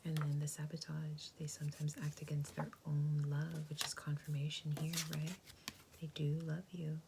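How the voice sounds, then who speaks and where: A woman whispers a tarot reading, soft and reassuring, her low voice breaking into a gravelly fry now and then. Her tone stays flat and hushed, and a steady hiss of noise sits under the recording. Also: moderate pace. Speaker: tarot reader.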